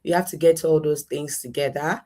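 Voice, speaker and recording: A woman's voice: low-pitched, fairly even intonation, fairly quick, mellow. A young adult woman with a Ghanaian accent, giving conversational answers as an interview guest. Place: in a room at home, online interview.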